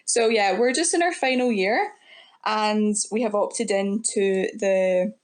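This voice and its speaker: A woman's voice: mid-range voice, takes it slowly. A woman in her twenties with a Scottish accent, chatting casually as a guest in an interview. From west coast of Scotland. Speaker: podcast guest, student nurse starting work.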